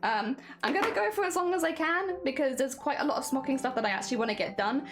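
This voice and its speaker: A woman's voice: high voice, lively intonation, fast. A woman livestreaming a crafting session, chatting casually with her viewers; she sounds a little wheezy and rambles, laughing often. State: wheezy, voice strained. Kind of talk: casual chat to live chat. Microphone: headset.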